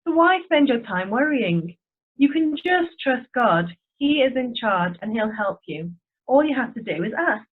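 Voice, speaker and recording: Calm, gentle teacher Jesus read by a woman; tinny audio. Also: a little high, unhurried, slightly rough, exaggerated voice. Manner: gentle, reassuring. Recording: tinny phone-like line.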